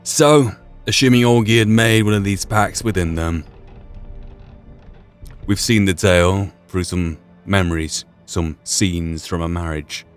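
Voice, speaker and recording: Low-voiced man musing over a game. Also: unhurried, smooth, soft background music. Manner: musing, repeats and rephrases himself. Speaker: gaming video host. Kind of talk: in-character playthrough commentary.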